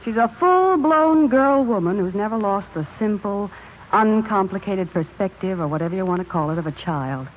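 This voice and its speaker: A woman's voice: medium pitch, lively intonation, moderate pace, close to an everyday voice. An actress playing a frank, commanding American woman in a 1950s radio drama, speaking emphatically in long, perceptive speeches. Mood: firm, reflective. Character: frank, no-nonsense, perceptive woman scolding two men. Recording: muffled, hissy 1950s radio recording.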